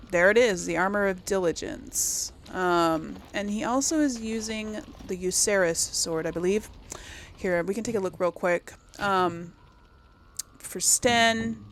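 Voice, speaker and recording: A woman with a creaky, gravelly edge chats casually as a gaming video host. She thinks aloud and corrects herself as she goes, at a middling pitch. There is noise in the background of the recording. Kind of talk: casual chat, let's play commentary.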